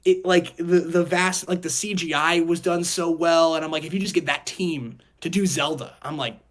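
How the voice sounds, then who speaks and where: A man's voice: on the high side, steady tone, normal speed. A man co-hosting a podcast, giving rambling, casual opinions on entertainment. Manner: rambling, hedging with frequent 'like'. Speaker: podcast co-host. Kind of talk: casual opinionated chat about movies and games.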